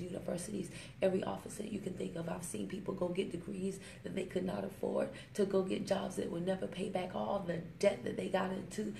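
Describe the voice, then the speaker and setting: A woman giving a motivational vlog talk on her smartphone, emphatic and preachy, slowing down to stress her points and fond of little rhymes. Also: mid-range voice, gravelly, dark tone. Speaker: network marketing vlogger. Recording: echoey room with faint ambient noise.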